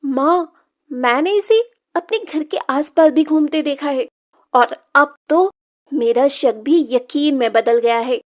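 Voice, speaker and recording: A woman's voice: sits high, slow. A woman voicing a spoiled, tattling twenty-year-old daughter in a Hindi moral-story cartoon, whiny and insinuating. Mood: suspicious, tattling.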